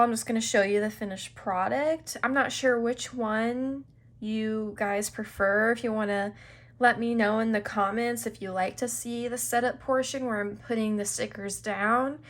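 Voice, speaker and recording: Unhurried woman, a little stuffy-nosed. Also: fairly high pitch. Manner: chatty, unhurried, with fillers and small laughs. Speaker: planner video creator. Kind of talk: casual planner flip-through narration.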